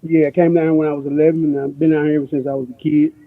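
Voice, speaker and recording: A man's voice: on the high side, level tone, rapid-fire. A man from Memphis, telling stories as a guest in casual, slangy chat, repeating himself for emphasis. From born in New York, grew up in Memphis. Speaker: guest, music producer. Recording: dull lo-fi radio-like sound.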